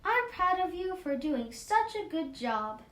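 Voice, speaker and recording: A woman's voice: big pitch swings, a little slow, a bit bright, heavily acted. A woman voicing a patient, encouraging mother in a storybook read-aloud. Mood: proud. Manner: patient, reassuring. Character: kindly, encouraging mother of a little girl. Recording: roomy echo, faint rumbling noise.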